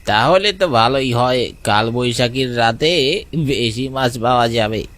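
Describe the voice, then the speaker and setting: A male voice of middle pitch, an actor's, for a village fisherman. He is the younger companion in a cartoon tale and talks in acted, conversational dialogue. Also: lively intonation, a little slow, fairly bright tone. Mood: eager, urging. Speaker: supporting character.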